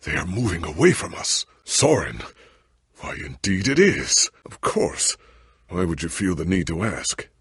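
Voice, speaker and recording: Deep, gravelly veteran warrior hero. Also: male voice, sing-song, unhurried, a bit bright, dry recording, clean studio sound, exaggerated voice. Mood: wry, lofty. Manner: formal, grandiloquent, theatrical. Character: noble veteran warrior hero, proud, earnest, ailing. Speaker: voice actor in a video game. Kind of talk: dramatic video game dialogue.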